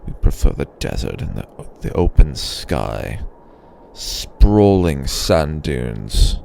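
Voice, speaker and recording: A man playing an arrogant, possessive male blue dragon in a slow roleplay ASMR monologue, with a gravelly, breathy growl and a haughty, rambling manner. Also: rumbling low, big pitch swings, mellow, slight background noise, full character voice. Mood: proud, musing. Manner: haughty, rambling, with pauses and sighs.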